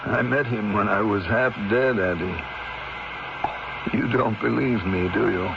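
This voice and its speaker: An actor playing a weary, frightened patient back from near death, with an American accent, in a 1970s radio drama. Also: male voice, lowish voice, measured pace, vocal fry. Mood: weak, fearful. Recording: muffled, noisy 1970s radio recording.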